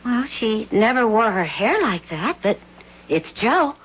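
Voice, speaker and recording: An actress in a 1970s radio drama playing a worried American secretary who speaks quickly and anxiously. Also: female voice, fairly high pitch, very animated, husky, exaggerated voice. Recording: dull 1970s radio broadcast.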